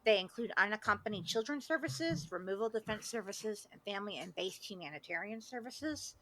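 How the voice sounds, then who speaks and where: A woman's voice: medium pitch, lively intonation, fairly quick, a bit bright, rough recording. A woman talking casually as a podcast panelist. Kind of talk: casual chat.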